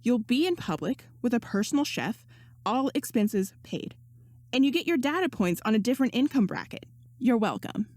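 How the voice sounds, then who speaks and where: A woman's voice: fairly high pitch, big pitch swings, moderate pace, darkish tone, no echo, studio quality, voice pushed up. A woman voicing a confident, breezy young woman in a story, teasing and sure of herself. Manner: teasing, assured, sarcastic.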